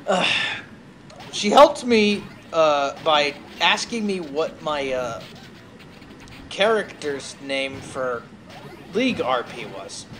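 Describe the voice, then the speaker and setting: A man chatting casually as he streams a game, telling stories haltingly and drifting off on tangents, with some noise in the recording. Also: a little high, sing-song, a bit bright. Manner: halting, tangent-prone storytelling. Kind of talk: casual rambling chat over gameplay.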